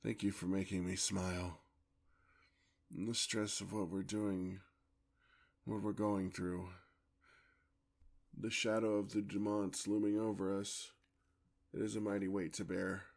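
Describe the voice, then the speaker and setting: A man playing a weary, tender, courtly male vampire in an ASMR roleplay, with a husky, gravelly voice and formal, old-fashioned speech. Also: on the low side, little pitch movement, measured pace, long pauses, darkish tone. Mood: weary, yearning.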